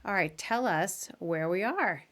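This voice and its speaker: A woman's voice: on the low side, expressive, measured pace, fairly bright tone. A woman hosting a podcast in a chatty, informal way, as in a casual live interview. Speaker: podcast host.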